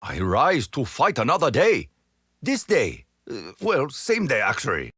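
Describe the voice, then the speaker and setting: A stiff, honor-bound Japanese imperial soldier, speaks in formal game voice lines with a Japanese accent. His pitch leaps and plunges all over, sometimes within a single sentence. The recording is close and dry, with no room around it. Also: huge pitch swings, measured pace, fairly bright tone, slightly rough, no room sound, exaggerated voice. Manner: formal, stiff phrasing with sudden comic deflation.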